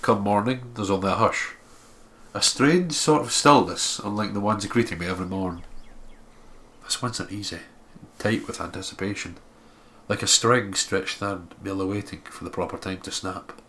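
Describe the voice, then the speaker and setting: A man's voice: lowish voice, normal speed, fairly bright tone, slight background noise. A man reading a visual novel aloud as narration, expressively, with casual asides.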